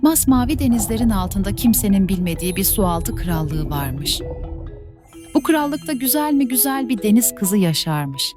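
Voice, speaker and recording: A woman narrating classic fairy tales for children in a soft, measured way. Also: mid-range voice, lively intonation, music in the background. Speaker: storyteller. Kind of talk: scripted fairy-tale narration.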